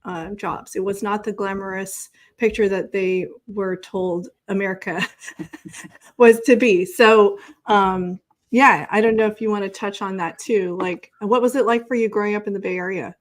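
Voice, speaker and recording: A woman's voice: mid-range voice, fairly even intonation, normal speed. A woman hosting a casual online interview, speaking in an informal, chatty, encouraging way. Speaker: show host interviewing a guest.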